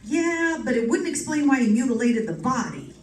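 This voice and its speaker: A woman playing a gruff, sarcastic, stubborn male homicide detective in old-time radio drama, with slangy tough-cop talk. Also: high voice, very animated, moderate pace. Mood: sarcastic, insistent. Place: live stage performance, mic at a distance. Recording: distant mic in echoey, noisy room.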